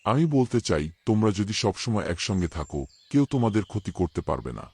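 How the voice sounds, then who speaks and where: A man's voice: lowish voice, big pitch swings, fast talker, darkish tone, slightly rough, exaggerated voice. A man voicing a hardworking old village farmer who lectures his quarreling sons in an earnest, fatherly way. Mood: lecturing.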